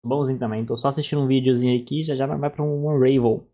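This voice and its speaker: A Brazilian-accented man chats live as a reaction streamer. His voice is dark and mellow, and he talks informally with slang and repeated phrases. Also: mid-range voice, steady tone, fast talker. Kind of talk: casual live reaction chat.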